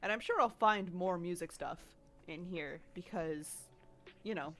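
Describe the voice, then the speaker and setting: A woman's voice: fairly low pitch, a little slow, a bit bright. A sniffly young woman streamer chatting casually from her bedroom, rambling and laughing often as she reads chat while she draws. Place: home bedroom.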